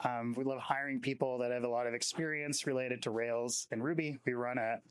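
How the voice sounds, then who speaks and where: A man's voice: mid-range voice, steady tone, fairly quick, smooth, dry recording. A man giving an informal welcome speech as a company representative, conversational and peppered with "like". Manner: conversational, frequent filler "like".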